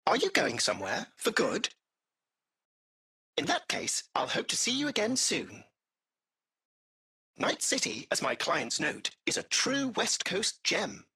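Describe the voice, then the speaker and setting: A cheeky, urbane British AI chauffeur from a video game. His voice is bright and very husky, with some creak. He chats effusively like a polished butler, swooping through his phrases. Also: high-pitched, full character voice. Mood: effusive, charming. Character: urbane, chatty male AI taxi driver, butler-like and cheeky.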